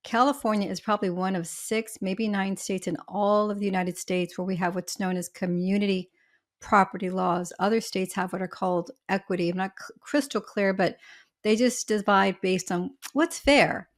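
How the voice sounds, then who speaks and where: A woman speaks with a smoky, slightly husky voice, worn by allergies. She is an attorney guest on a podcast, talking through legal rules in a chatty way, with homely examples and quick asides. Her pitch sits in an ordinary middle range. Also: fairly even intonation. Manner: talkative, explains with everyday examples and asides. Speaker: family law attorney, guest. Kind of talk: podcast interview, explaining law.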